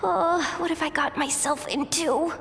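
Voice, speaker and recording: Wary young female game adventurer: high-pitched, exasperated. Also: fairly even intonation, unhurried, a bit bright, faint music behind. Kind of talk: video game voice acting.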